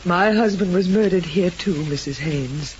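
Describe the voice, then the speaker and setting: An actress playing an anxious, bewildered, polite widow in an old-time radio drama. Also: female voice, lowish voice, a little slow, a little mellow, close to an everyday voice. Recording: muffled, noisy old radio recording.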